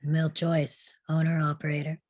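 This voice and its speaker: Low-voiced, weary saloon keeper read by a woman. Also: completely flat delivery, normal speed, darkish tone, dry recording. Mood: curt. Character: male saloon owner-bartender, weary and disgusted. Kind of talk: live table read of a western screenplay.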